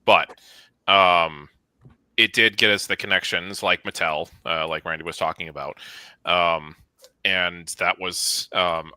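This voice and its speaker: A man speaking as an interview guest, casually recounting things with frequent pauses and a wry touch. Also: sits low, fairly even intonation, pushed and bright, smooth, no room sound. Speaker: interview guest, studio co-founder.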